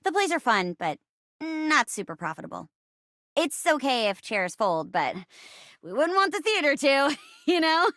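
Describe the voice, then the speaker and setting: A high-voiced young actress chair come to life for a video game. She is earnest and casual, with a very animated, swooping delivery. The sound is close and dry. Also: dry recording, full character voice. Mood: cheerful, friendly. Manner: animated, earnest, casual, halting when uncomfortable. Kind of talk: video game character dialogue.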